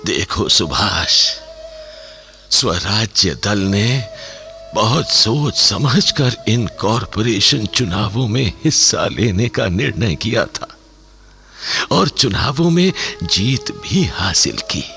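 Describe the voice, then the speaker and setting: An older man acting an ailing senior political leader in a Hindi radio play, reasoning in a deliberate, emphatic, fatherly way. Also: lowish voice, big pitch swings, creaky, sharp tone, faint music behind, heavily acted. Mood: measured, persuasive.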